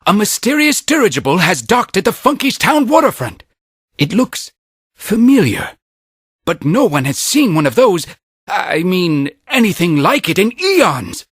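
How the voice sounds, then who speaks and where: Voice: mid-range voice, very animated, unhurried, slightly rough, dry recording, clean studio sound. An actor playing an anxious, busy little creature in a video game, talking in a hesitant, evasive way. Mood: worried, evasive. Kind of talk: video game cutscene acting.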